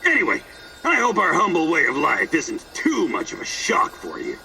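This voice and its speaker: A man's voice: fairly high pitch, wildly swooping pitch, moderate pace, vocal fry, far from the mic, music in the background, full character voice, rough recording. An actor playing a manic, teasing, foul-mouthed eccentric man in a video game, telling rambling stories in a mocking, theatrical way. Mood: mock-jovial. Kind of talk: video game character acting.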